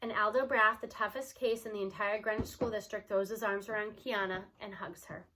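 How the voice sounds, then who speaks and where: A woman reading a children's novel aloud in an expressive storytelling style, as narrator. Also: mid-range voice, fairly quick, slight background noise, poor audio.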